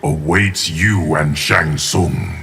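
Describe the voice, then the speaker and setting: Deep, solemn guardian. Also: male voice, bright, forceful tone, slightly rough, long reverb tail, exaggerated voice. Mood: stern. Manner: solemn, measured. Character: stern, steadfast male guardian of time. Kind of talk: video game character dialogue.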